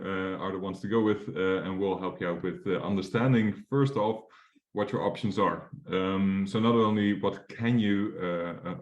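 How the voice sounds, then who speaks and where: A man's voice: low-pitched, a little mellow, echoey room. A young Dutch man presenting as a mortgage advisor in a webinar, casual and full of fillers and restarts. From Netherlands.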